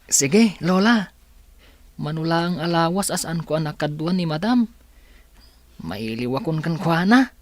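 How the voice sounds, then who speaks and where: Eager schoolchild, dry sound. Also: female voice, low voice, lively intonation, unhurried, long pauses, no echo. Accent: Ilocano. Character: eager, affectionate schoolchild talking to grandmother. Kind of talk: radio drama acting.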